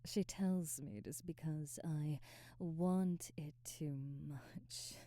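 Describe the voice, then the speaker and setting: Woman doing a haughty vampire lady for asmr roleplay, low soft whispery voice, formal wording, super close dry sound. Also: no room sound. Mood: proud, resentful. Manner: measured, formal, old-fashioned diction. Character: proud, ambitious female vampire aristocrat, remorseful, domineering captor. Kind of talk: intimate ASMR roleplay, scripted monologue.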